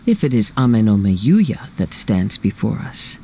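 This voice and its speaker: Calm wandering samurai game hero, mellow n a bit creaky, talks all measured and formal. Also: male voice, on the low side, a little hiss or hum. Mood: calm deduction. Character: calm, thoughtful young wandering male swordsman.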